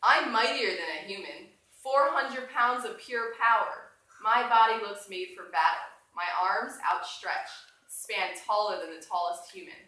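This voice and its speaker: A woman's voice: medium pitch, measured pace, pushed and bright. Woman reading as proud silverback gorilla, very echoey room. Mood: proud, stately. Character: proud, dignified, wry huge male silverback gorilla. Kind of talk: storybook read-aloud. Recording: echoey room from far off, faint noise.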